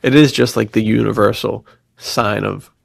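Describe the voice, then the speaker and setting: Low-pitched man chatting, slight creak. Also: normal speed, dry recording, studio quality. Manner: slangy, animated storytelling with quoted dialogue and frequent laughter. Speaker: podcast co-host. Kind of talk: casual chat, telling personal anecdotes.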